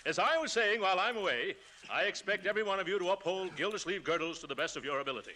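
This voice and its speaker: An American actor playing a pompous, blustering middle-aged company boss in a 1940s radio comedy. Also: male voice, high-pitched, expressive, racing, bright, forceful tone. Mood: pompous, self-satisfied. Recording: noisy old 1940s radio recording.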